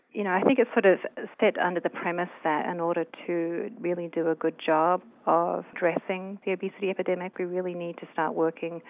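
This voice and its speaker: Woman from New Zealand, researcher guest, gravelly voice, tinny phone line, hesitant. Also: fairly low pitch. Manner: thoughtful, many fillers and hedges. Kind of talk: interview answers.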